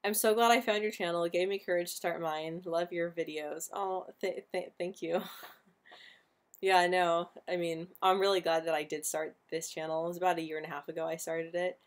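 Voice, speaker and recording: Young woman host, low-pitched, stutters on sounds, relaxed live chat. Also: brisk. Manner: stutters often, repeating sounds and words. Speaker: livestream host answering viewer questions. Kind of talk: casual live stream chat.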